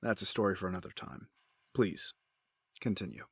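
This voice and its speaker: An actor in an audio drama playing a cold, courteous, calculating crime boss with formal, polished phrasing. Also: male voice, sits low, lively intonation, normal speed, vocal fry, fairly bright tone, husky, no echo, exaggerated voice. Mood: measured, probing. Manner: formal, urbane, elaborate wording.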